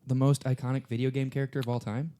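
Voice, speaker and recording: A man's voice: mid-range voice, level tone, fast talker, mellow. A man co-hosting a podcast in casual chat, joking around and using plenty of slang. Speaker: podcast co-host.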